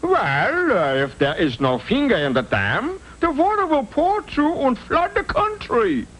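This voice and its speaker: A man's voice: extremely high pitch, huge pitch swings, a little slow, a bit bright, full character voice. A man voicing a casual waterfront boss in a cartoon, with an exaggerated, swooping delivery. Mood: instructing. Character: drawling adult boss of a waterfront dam job. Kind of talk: cartoon character voice. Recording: noisy old TV cartoon audio.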